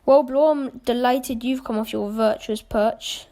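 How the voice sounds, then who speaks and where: Radio play role: cynical, cool adventurer, high voice, dry clipped mockery female performer. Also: steady tone, unhurried, mellow. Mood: dry, probing. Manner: dry, sardonic, clipped retorts. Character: cynical, cool, mocking male adventurer with a revolver. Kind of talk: amateur radio play acting.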